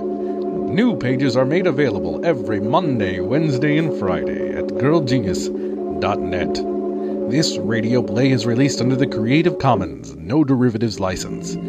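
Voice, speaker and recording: Animated male announcer over loud music. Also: mid-range voice. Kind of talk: scripted radio play closing announcement.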